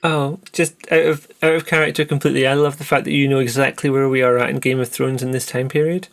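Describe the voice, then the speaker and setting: A man's voice: mid-range voice, flat delivery, fast, vocal fry, fairly bright tone. A man running a tabletop roleplaying game, mixing narration with casual, slightly hesitant table talk. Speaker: game master.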